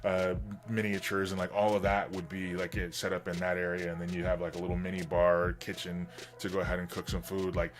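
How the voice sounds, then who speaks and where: A man hosting a livestream in casual, rambling, enthusiastic chat, his voice slightly hoarse from getting over being sick. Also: sits low, monotone, a little fast, hardly any pauses. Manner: enthusiastic, informal geek talk. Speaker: livestream host.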